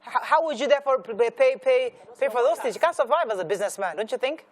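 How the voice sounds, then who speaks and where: A woman with a Nigerian accent hosts a panel talk show. Her voice sits a little high and she talks quickly, in an animated chatty style.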